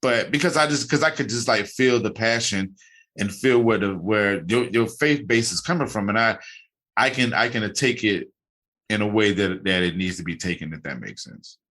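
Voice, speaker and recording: Male podcast host, casual rambler, low pitch, bright tone. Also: normal speed. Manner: rambling, many restarts and fillers. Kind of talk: casual interview chat.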